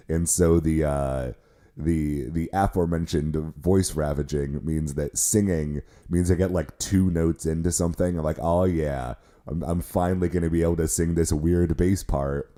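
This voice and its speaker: Hoarse man with a very deep voice. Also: fairly even intonation, moderate pace, mellow. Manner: rambling, self-mocking, many restarts. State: hoarse from illness, voice cracks. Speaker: podcast co-host. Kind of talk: casual chat.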